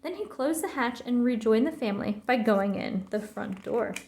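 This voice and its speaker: A woman reading a children's story aloud in an expressive, theatrical way. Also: a little high, normal speed, creaky, a little hiss or hum. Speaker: storybook reader. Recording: roomy, echoing sound.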